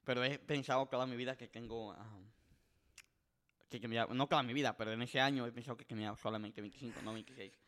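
Rambling twenty-something host. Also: male voice, medium pitch, steady tone, fast talker, a bit bright. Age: 20s. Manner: rambling storyteller, many filler words, switches between Spanish and English. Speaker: podcast host. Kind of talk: casual bilingual chat.

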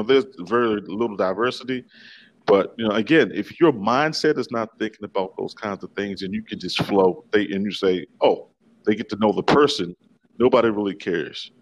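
Male filmmaker from D.C., very low voice, chatty and rambling guest. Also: rough recording. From Washington, D.C. area, USA. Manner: rambling, many fillers and restarts. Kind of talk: casual interview. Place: remote call from Italy.

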